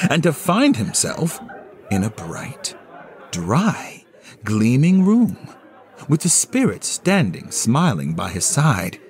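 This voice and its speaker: A man's voice: on the low side, darkish tone. A man narrating a classic novel as dramatic, scripted storytelling, with a theatrical and solemn delivery. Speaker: narrator, solo performer.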